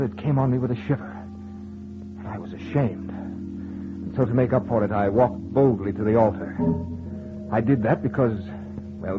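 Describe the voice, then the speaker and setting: Guy acting as husband telling a spooky tale on old radio, low theatrical voice, tinny sound w/ music behind. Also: lightly acted. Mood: tense, dramatic. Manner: theatrical, deliberate, literary phrasing. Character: happily married man narrating his eerie night walk, growing unnerved. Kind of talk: old-time radio horror acting, dramatic first-person narration.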